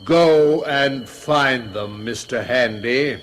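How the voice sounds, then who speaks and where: Radio drama boss: middle-aged, stern, formal, measured, unhurried, quietly menacing, commanding. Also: male voice, medium pitch. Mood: commanding, displeased. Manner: formal, deliberate.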